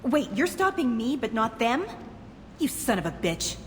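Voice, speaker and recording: Game bit part: indignant locked-out resident, agitated, very animated pitch, clear noise behind. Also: female voice, measured pace. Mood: outraged. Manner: protesting, agitated. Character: indignant, desperate woman locked out of home. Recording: loud background noise.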